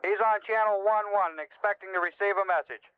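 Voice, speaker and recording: High, clear CB trucker over tinny radio. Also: male voice, fast. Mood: businesslike. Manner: clipped CB slang. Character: Mean Machine, brisk helpful male CB trucker. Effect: CB radio filter. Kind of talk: scripted CB radio role-play. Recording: tinny band-limited CB radio.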